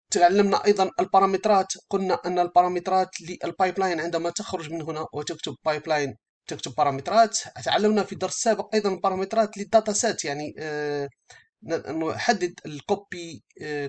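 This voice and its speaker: A man giving a step-by-step software tutorial as an instructor, speaking haltingly and often rephrasing as he explains. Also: high-pitched, steady tone.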